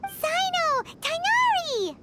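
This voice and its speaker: Tiny, squeaky, very high fairy. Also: female voice, very animated, slow, full character voice. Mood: excited. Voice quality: squeaky, childlike. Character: tiny, excitable, childlike female fairy companion. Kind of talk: video game dialogue acting. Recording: loud music over the voice.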